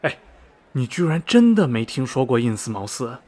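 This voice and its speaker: A man's voice: medium pitch, very animated, normal speed, darkish tone. A man playing a chatty middle-aged railway station veteran in a radio drama, folksy and rambling, with many sighs and interjections. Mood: chatty, self-assured. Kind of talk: Mandarin radio drama dialogue, storytelling. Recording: music behind the voice. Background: background music.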